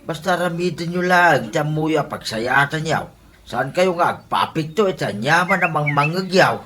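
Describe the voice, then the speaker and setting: A man's voice: on the high side, lively intonation, normal speed, close to an everyday voice. A man with a Filipino accent playing a stern, moralizing older father in a radio comedy drama, with a preachy delivery. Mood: admonishing. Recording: noisy background.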